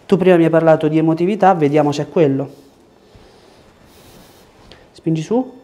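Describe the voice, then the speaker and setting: A man, working as a chiropractor and giving short, gentle instructions and questions during an exam. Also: fairly high pitch, moderate pace. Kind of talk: hands-on exam, instructing a patient. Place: small treatment room indoors.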